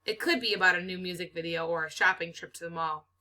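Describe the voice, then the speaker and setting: A woman's voice: fairly low pitch, fairly even intonation, fast talker, bright, forceful tone. A woman reading a children's novel aloud expressively, as a teacher does for students.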